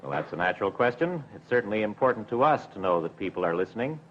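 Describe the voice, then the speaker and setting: A middle-aged man, a broadcasting official, answering in a measured, careful, formal way with some hesitation in a 1950s television interview. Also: on the low side, very animated. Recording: dull 1950s television recording with hum.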